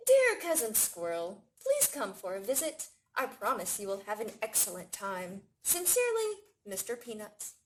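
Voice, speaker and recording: A woman voicing a polite, earnest gentleman squirrel in a storybook, speaking formally. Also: medium pitch, wildly swooping pitch, normal speed, few pauses, slight background noise, poor audio. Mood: hopeful, inviting.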